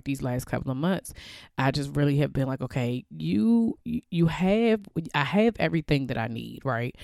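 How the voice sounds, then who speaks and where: A woman with a cold, congested and hoarse, talking casually and chattily on her own podcast with a naturally deep voice. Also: darkish tone, no room sound, clean studio sound. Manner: chatty, loose, laughs often, lots of fillers. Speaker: podcast host. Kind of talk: casual solo podcast talk.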